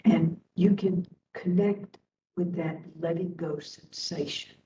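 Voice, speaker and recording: A woman instructor leading a guided meditation in a soothing manner, speaking in short phrases with long pauses. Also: steady tone. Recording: roomy, distant mic, faint rumbling noise.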